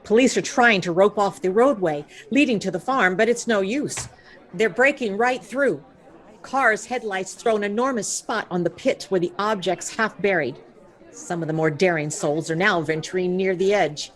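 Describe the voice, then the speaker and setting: A woman's voice: mid-range voice, moderate pace, natural voice. A woman playing an on-scene radio reporter describing a live event in a radio drama. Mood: reporting.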